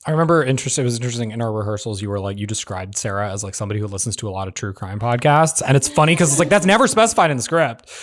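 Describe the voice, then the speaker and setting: A man's voice: medium pitch, very fast, hardly any pauses. A man chatting casually as a panelist in a group discussion. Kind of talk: casual chat.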